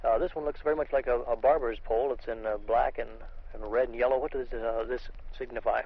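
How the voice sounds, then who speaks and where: A man's voice: medium pitch, steady tone, quick pace, vocal fry. An American man working as an army radio reporter, asking conversational, hesitant questions in an interview outdoors on location. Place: outdoors in the field. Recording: dull lo-fi vintage field radio recording.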